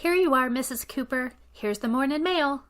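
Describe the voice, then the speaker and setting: A woman's voice: high voice, moderate pace, over music, lightly acted. A woman playing a friendly, sympathetic household maid in an old-time radio comedy, consoling and lightly teasing. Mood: cheerful.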